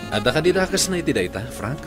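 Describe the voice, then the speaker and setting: A man's voice: fairly high pitch, sing-song, fast talker, music in the background. An actor playing a friendly but firm man in a radio drama. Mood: firm, challenging. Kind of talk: Ilocano radio drama acting.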